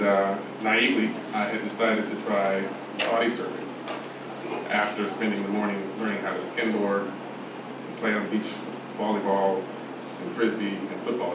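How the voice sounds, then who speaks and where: Soft-spoken man in echoey hall. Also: fairly low pitch, heavy background noise. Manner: halting, many fillers and restarts. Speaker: conference speaker. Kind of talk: speech at a podium, personal story. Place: conference hall. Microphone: podium microphone. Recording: echoey hall from far off, with clatter of dishes.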